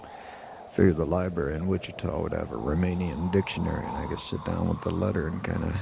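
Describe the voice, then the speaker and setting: A man playing a laconic, weary frontier marshal in a Western radio drama, with a gravelly voice and a slow, halting delivery. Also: low-pitched, fairly even intonation. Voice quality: gravelly, creaky. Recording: noisy, hissy 1980s amateur tape.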